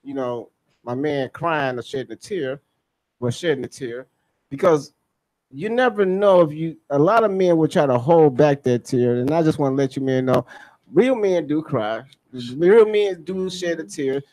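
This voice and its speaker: A man's voice: on the high side, moderate pace, dark tone, no room sound. An American man hosting a casual radio chat, affirming and often repeating short phrases. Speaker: radio host.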